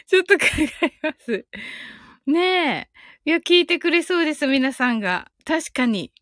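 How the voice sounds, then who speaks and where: High-voiced, giggly woman hosting a live stream. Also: big pitch swings, unhurried, fairly bright tone, no room sound, clean studio sound. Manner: giggly, breaking often into laughter; polite, thanking listeners often. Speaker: host of a live audio stream. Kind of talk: casual live chat with listeners.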